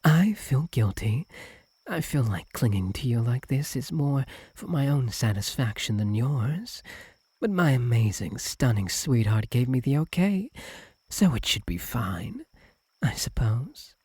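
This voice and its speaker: A man voicing a doting, possessive fox-spirit husband in an intimate ASMR roleplay, soft and breathy, often slipping into whispers, tender and clingy. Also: medium pitch, very animated, measured pace, dry recording, exaggerated voice. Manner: tender, clingy, hesitant, lingering on words.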